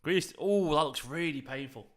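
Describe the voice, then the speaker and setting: A man's voice: on the high side, brisk, fairly bright tone. A young man with a South Manchester accent, chatting casually to viewers during a live football watchalong. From South Manchester, England. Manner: chatty, rambling, talks to viewers. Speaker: fan channel host.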